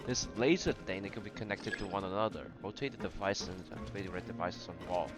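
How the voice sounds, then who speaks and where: A man's voice: sits low, quick pace. A man giving casual gameplay commentary, muttering and thinking aloud as he plays. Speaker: game player. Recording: loud game music behind the voice.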